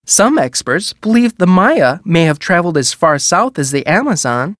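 A woman narrates museum exhibits for a video game. She is measured and formal, explaining in a scripted educational style, with a very dry recording free of room sound. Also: lowish voice, sing-song, dry recording.

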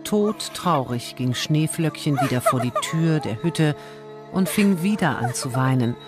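A woman's voice: deep voice, animated, unhurried, loud music behind the voice. A woman narrating a fairy tale as a scripted audio story.

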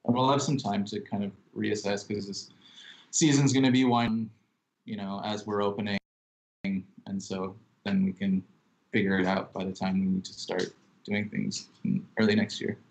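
Calm low-voiced young man on a call. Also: normal speed, creaky, poor audio. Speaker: chef and restaurant co-owner. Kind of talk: casual interview answers. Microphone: laptop webcam on video call.

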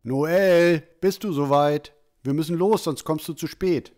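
A man's voice: fairly high pitch, unhurried, darkish tone, close to an everyday voice. A man playing a stern, exasperated village father with an emphatic, urging delivery in a fantasy audio drama.

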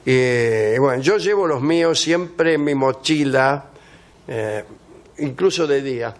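A man's voice: medium pitch, slow. A man hosting a comedy radio show, reading out a travel guide with dry sarcastic asides.

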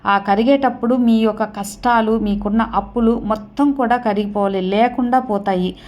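A woman's voice: a little high, fairly even intonation, normal speed, darkish tone. A woman hosting a devotional channel, explaining to viewers step by step in a repetitive, instructional way. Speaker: host of a devotional remedy channel.

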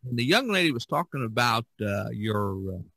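A man's voice: mid-range voice, big pitch swings, normal speed, creaky, sharp tone, no room sound. A man hosting a podcast in a casual, chatty style. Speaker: podcast host.

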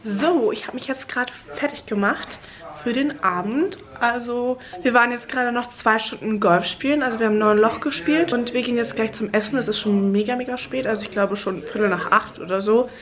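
A young woman with a slightly high voice talks in a relaxed, chatty way straight into her handheld phone camera. A cold has left her sounding stuffy and congested. She is in a hotel room. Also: fairly quick, music in the background. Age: young adult. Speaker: vlogger. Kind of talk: casual travel vlog. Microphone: handheld phone camera at arm's length.

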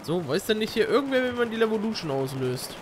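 A man's voice: sits high, very animated, fast, noisy background. A man giving casual, slangy commentary while playing a video game with a friend. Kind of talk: casual gaming chat with a co-player. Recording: faint game gunfire behind voice. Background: video game gunfire and ambience.

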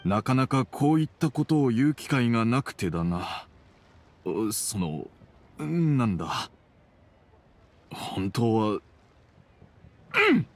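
Formal middle-aged father played by a man, expressive intonation. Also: mid-range voice, natural voice. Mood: awkward, fond. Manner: measured, formal, slightly awkward phrasing. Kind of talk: Japanese anime game dialogue acting.

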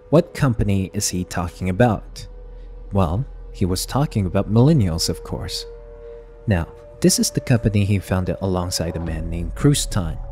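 A man narrates an investigative commentary in a low, dark-toned voice. His delivery is scripted, and faint music plays behind him. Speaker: video essay narrator.

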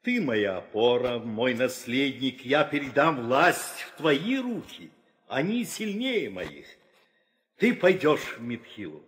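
A man's voice: mid-range voice, sing-song, slow, a bit bright. An actor playing a weary, authoritative old king and father, solemn and declamatory in a theatrical audio play. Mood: weary, fatherly. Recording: reverberant 1960 audio play record.

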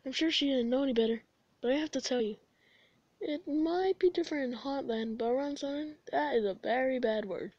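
A woman's voice: high-pitched, brisk, darkish tone, no room sound, lo-fi sound. Comic-dub skeleton brother, female performer: sleepy, gloomy, hesitant, sighing, close and dry. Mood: awkward, earnest.

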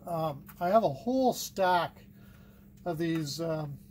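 A middle-aged man giving a casual, unscripted workbench tutorial, thinking aloud haltingly as he works with his hands. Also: fairly high pitch, darkish tone, a little hiss or hum. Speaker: hobbyist demonstrating cassette repair. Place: indoors at a wooden workbench.

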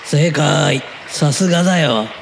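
Guy doing a friendly trainer in a radio drama, highish male voice, clear vehicle noise behind. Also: slow pace. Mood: encouraging. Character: encouraging, friendly male simulator instructor. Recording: loud vehicle-like ambience.

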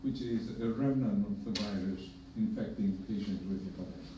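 A man's voice: on the low side, far from the mic. Greek-accented man in an echoey room. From Greece. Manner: measured, emphatic, with dry humor. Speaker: hepatologist panelist. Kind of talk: conference panel remarks. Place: conference room with projector. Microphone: podium mic, filmed from far in the room. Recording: echoey room from afar, dull and noisy.

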